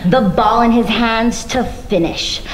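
A woman delivers spoken-word poetry with theatrical emphasis. Her voice sits fairly high, and the live room adds clear echo around her stand microphone. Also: slow pace, slight background noise. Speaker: spoken-word poet performing on stage. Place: large meeting room before a seated audience. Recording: echoey live room recording.

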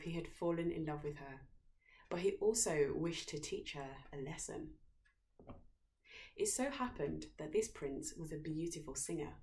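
Female storyteller, young adult, slightly low pitch, reading a fairy tale aloud at home. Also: darkish tone. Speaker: story reader. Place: living room at home, on a sofa.

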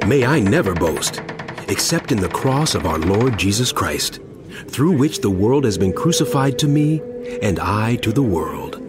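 A man's voice: lowish voice, big pitch swings, measured pace, music in the background. A man playing an earnest, admonishing apostle in a solemn, emphatic dramatized scripture reading. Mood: fervent, exhorting. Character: earnest, admonishing apostle writing a letter to believers. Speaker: audio Bible narrator.

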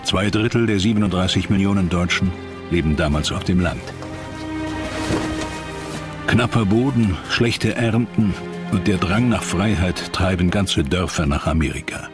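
A man with a deep voice narrates a historical documentary from a script. Loud music plays under his narration. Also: measured pace.

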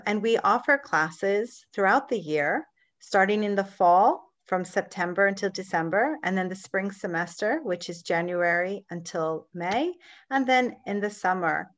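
A woman's voice: mid-range voice, animated, unhurried, a bit bright. Matter-of-fact woman on a video panel. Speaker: college staff member. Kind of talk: online panel presentation. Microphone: video call.

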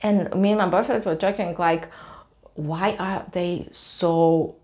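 A woman's voice: on the low side, steady tone, takes it slowly, a little mellow. A young woman from Russia with a Russian accent, telling a story to camera at home in a hesitant way, with many fillers. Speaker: vlogger. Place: small cluttered room at home, indoors.

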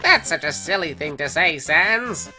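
An exuberant, affectionate cartoon skeleton brother, acted by a woman, theatrical and earnest. The voice is bright and forceful and takes its time, with music underneath. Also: sits low, lively intonation, measured pace, full character voice. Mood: cheerful. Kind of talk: comic dub voice acting. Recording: voice over background music.

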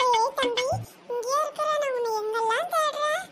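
A performer voicing a bossy, teasing young girl in a cartoon comedy, with a nagging, pitched-up voice. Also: normal speed, soft background music. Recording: loud noise behind the voice.

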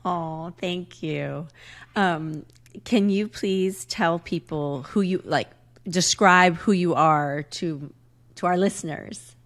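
A woman in her forties hosts the conversation in a low, soft voice, asking questions in a halting, curious way. She speaks from her living room at home. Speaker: podcast host. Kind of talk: casual interview.

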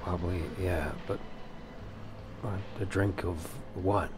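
A man roleplaying a bewildered, wary newly turned vampire over a video game, speaking in hesitant, halting phrases. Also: low voice, fairly even intonation, background noise. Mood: uncertain. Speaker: gameplay roleplayer. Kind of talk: improvised roleplay over gameplay.

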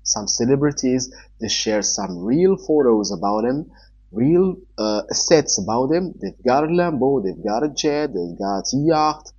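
A man in his forties from Morocco, a native Arabic speaker with a Moroccan accent, giving earnest advice in halting English to a camera in a small room. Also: mid-range voice, animated, a little mellow, husky. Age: 40s. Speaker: online entrepreneur giving advice. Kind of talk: talking-head advice vlog. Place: small indoor room with a wall-mounted TV. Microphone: camera in front at desk distance. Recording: somewhat dull, lo-fi sound.

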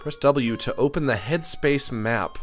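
A man's voice: medium pitch, measured pace, faint music behind. A man giving casual live commentary on a video game and reading its text aloud, playfully whiny when let down.

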